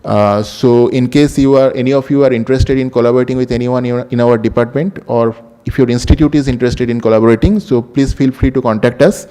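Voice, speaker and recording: A man giving a formal welcome as workshop host, halting and full of fillers. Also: medium pitch, fairly even intonation, dark tone, smooth. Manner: halting, many fillers and restarts. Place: large lecture hall. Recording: reverberant hall sound.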